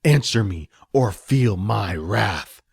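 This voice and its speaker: A man voicing a cruel, commanding sorceress who speaks in slow, menacing demands. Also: fairly low pitch, animated, vocal fry, slightly rough, no echo, studio quality, full character voice.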